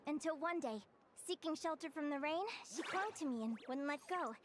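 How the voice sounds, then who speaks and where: An actress playing a cheerful young woman who studies creatures, telling her story in a lively, friendly way. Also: high-pitched, moderate pace, noisy background. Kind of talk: video game voice acting.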